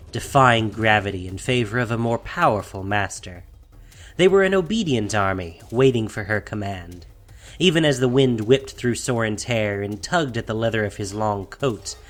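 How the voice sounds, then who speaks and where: A man narrating a fantasy audio drama from a script in a dramatic storytelling style. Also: mid-range voice.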